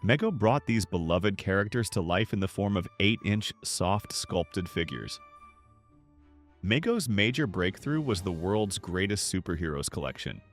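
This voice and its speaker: A man reading a scripted, nostalgic documentary narration in a measured, polished way. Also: deep voice, sing-song. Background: background music.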